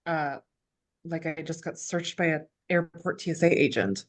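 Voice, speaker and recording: A woman playing a chipper, sardonic reality show host in a scripted table read, presenting brightly and slipping into sarcastic asides. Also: deep voice, moderate pace. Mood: sardonic, annoyed.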